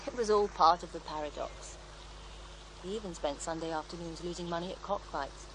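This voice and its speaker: An actress playing a serene, devout faith healer in a radio drama, reflective and gently assured as she tells her story. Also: lowish voice, a little fast, a little hiss or hum, lightly acted, poor audio. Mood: wistful. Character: serene devout female faith healer, former child therapist, wistful. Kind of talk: naturalistic radio drama acting.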